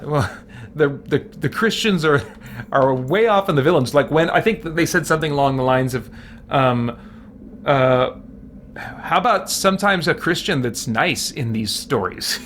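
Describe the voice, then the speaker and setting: A man giving casual, halting gameplay commentary as a let's play host, thinking aloud as he goes. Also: fairly high pitch, animated, fairly bright tone, slight background noise.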